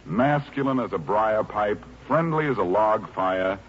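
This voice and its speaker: A man's voice: on the low side, animated, measured pace, slight background noise. An American man announcing and narrating in a polished, scripted 1940s radio style. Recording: muffled old radio recording.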